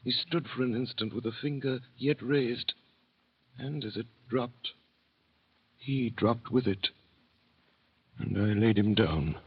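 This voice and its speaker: An actor playing an embittered, anguished imprisoned doctor, narrating gravely and deliberately in old radio drama style. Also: male voice, medium pitch. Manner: grave, measured, declamatory. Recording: dull vintage radio recording.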